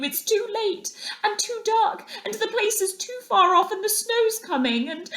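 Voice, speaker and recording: Storybook mole, male, timid and weepy, very high wailing voice, pleading through sobs played by a woman reader. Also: a bit bright, roomy sound. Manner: wailing, sobbing delivery.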